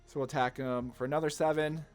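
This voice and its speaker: A man giving casual gameplay commentary, rambling informally as he thinks through each move out loud. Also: a little high, fairly even intonation, fast talker, soft background music. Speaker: gaming content creator, player.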